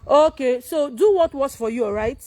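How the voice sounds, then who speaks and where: A woman's voice: high-pitched, normal speed, dark tone, no room sound. A Nigerian woman whose first language is Igbo, talking casually and emphatically to her viewers, repeating points and asking rhetorical questions. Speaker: content creator.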